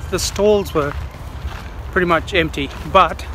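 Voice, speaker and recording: A man's voice: a little high, slow pace, bright, forceful tone, a little hiss or hum. A man chatting casually and informally to his viewers as a vlogger, with the odd mild swear. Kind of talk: casual vlog chat to viewers.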